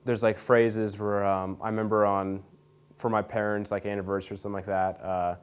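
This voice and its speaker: A young man answers interview questions in a loose, rambling way, leaning on fillers and restarting his sentences. His voice sits low and sounds smooth and clear. He talks casually, like he is chatting with friends. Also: little pitch movement, brisk. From Nebraska, USA. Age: young adult. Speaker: interview guest. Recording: radio studio interview.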